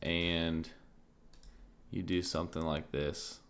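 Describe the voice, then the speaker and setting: A man with a deep, low voice hosts a casual trading stream, thinking out loud with plenty of fillers. He speaks in a slow, easygoing rhythm. His voice carries a slight rasp, and he clears his throat now and then. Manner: relaxed, thinking aloud, many fillers like "like" and "um". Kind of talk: casual live-stream market commentary.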